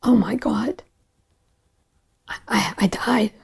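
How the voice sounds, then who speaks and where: A woman's voice: a little high, takes it slowly, creaky, a little mellow, breathy edge. A woman in her sixties giving an emotional personal recollection in a documentary interview. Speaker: survivor giving testimony. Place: living room with wood paneling and stone fireplace. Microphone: lapel mic. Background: prominent background music.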